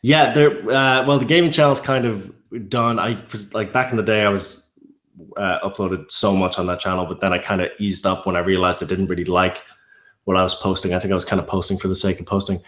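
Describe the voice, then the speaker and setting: A man's voice: sits low, steady tone, brisk, fairly bright tone, echoey room. A man from Ireland with a mild Irish accent, chatting casually as an interview guest, rambling with many restarts. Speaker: YouTube narrator guest.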